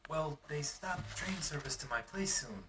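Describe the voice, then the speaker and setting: A man's voice: fairly high pitch, expressive, measured pace, far from the mic, background noise. A man playing a meek, put-upon young band musician in a motion comic. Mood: hesitant.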